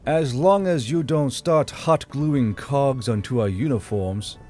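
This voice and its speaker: A man's voice: mid-range voice, slow. A man voicing a curious, concerned soldier in a fantasy audiobook, earnest and questioning. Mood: wry. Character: curious, concerned male soldier, loyal comrade of the commander. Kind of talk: audiobook character voice. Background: soft background music.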